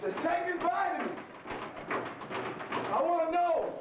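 Man, high-pitched shouting, excited encouragement, loud noisy background, echoey distant gym sound. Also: unhurried, lo-fi sound. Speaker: hype man cheering a boxer. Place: indoor boxing gym.

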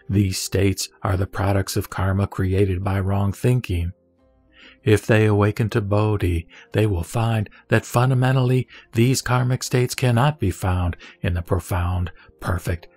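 A man reading scripture from a script in a solemn, formal manner, phrase by measured phrase. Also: medium pitch, vocal fry, a little mellow, dry recording. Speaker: reciter of Buddhist scripture. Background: background music.

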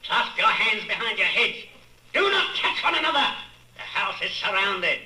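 A piercing, echoing enforcer. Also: male voice, high-pitched, sing-song, normal speed, sharp tone, heavily acted. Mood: barking. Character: harsh male enforcer barking orders. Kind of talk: old-time radio drama acting. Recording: echoey, distant radio-like sound.

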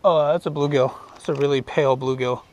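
A young man casually commenting while fishing from a small boat on a lake, easygoing and upbeat, getting excited over each catch. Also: mid-range voice, fairly quick, highs cut off. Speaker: fishing vlogger. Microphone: action camera on the boat.

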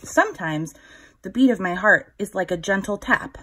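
A woman in her thirties reading a children's picture book aloud, instructive, pausing to put questions to young listeners. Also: medium pitch, expressive, a bit bright, slightly rough. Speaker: children's story time reader.

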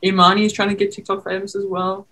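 A woman's voice: mid-range voice, level tone, fast talker, bright, forceful tone. A young Australian woman, a college basketball player, chatting playfully over a video call. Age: young adult. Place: small room, indoors.

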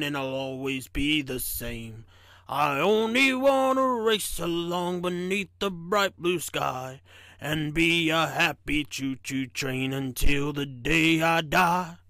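A man voicing a small, gruff, gentle talking steam train in a slow, sing-song manner, for a children's storybook. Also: extremely high pitch, dry recording, studio quality, full character voice.

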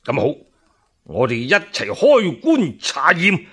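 A man playing a righteous, loyal old court minister who questions sternly and deliberately, in a radio story. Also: on the high side, huge pitch swings, fairly bright tone, breathy edge, full character voice.

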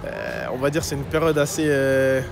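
A man's voice: medium pitch, wildly swooping pitch, moderate pace, creaky, noisy background. A young man answering street questions in a casual, hesitant, slangy way. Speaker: street interviewee. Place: busy city street, outdoors.

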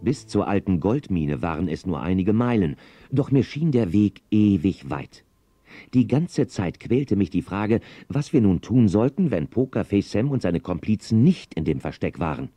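A man's voice: lowish voice, sing-song, moderate pace, dark tone, no echo. An actor playing a man who recounts his own Western adventure as scripted radio play narration. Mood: suspenseful. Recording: noisy outdoor sound-effect backdrop.

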